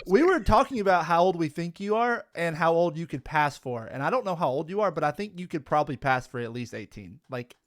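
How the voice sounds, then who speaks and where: A man's voice: a little high, lively intonation, brisk, few pauses, no echo. Teasing man on a dry mic. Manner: teasing, laughs often. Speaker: co-host. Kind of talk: casual podcast chat.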